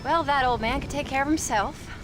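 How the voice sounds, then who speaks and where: An actress playing a sharp-tongued American frontier wife and mother, sarcastic and mock-sweet. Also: high-pitched, a little fast, loud music behind the voice, natural voice, low-quality recording. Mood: dry, unworried. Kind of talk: video game cutscene acting.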